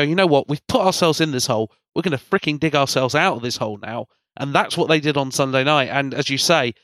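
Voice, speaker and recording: A man's voice: on the high side, fairly bright tone, no echo. A man with a congested, cold-affected voice hosts a sports podcast, recapping matches in a rambling, enthusiastic, conversational way.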